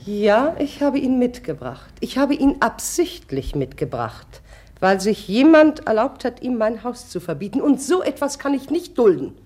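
An actress playing an imperious, excitable middle-aged mother in a German radio drama, speaking in exclamations. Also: a little high, measured pace. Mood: defiant, imperious. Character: domineering, excitable mother of grown daughters.

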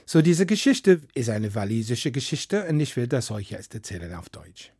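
A man's voice: mid-range voice, very animated, moderate pace, darkish tone, husky, no echo, studio quality. A man telling a folk story in to a live audience, chatty and playful with asides. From Wales. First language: English. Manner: chatty, playful asides, non-native grammar.

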